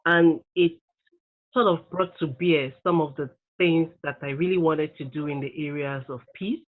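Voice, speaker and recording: Measured woman from Nigeria, tinny audio. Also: low-pitched. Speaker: peace fellow. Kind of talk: interview answer.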